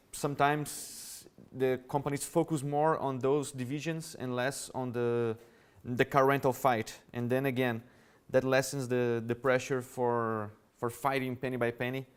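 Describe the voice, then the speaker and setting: A man with a Brazilian Portuguese accent gives a conference presentation, explaining his points in an easy, conversational way and addressing the audience directly. His voice is smooth and clear and sits at a medium pitch. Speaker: conference speaker. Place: conference hall.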